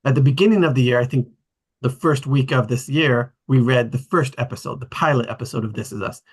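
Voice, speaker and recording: Casual male host, halting delivery. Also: mid-range voice, slightly rough. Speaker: host of an online script table read. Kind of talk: casual hosting talk.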